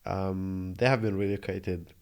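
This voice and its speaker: A man's voice: low voice, fairly even intonation, a little slow, smooth. A man in his twenties from Jordan, telling his story in an earnest, reflective way with many restarts. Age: 20s. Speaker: interview guest. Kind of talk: casual conversational interview. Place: Australia.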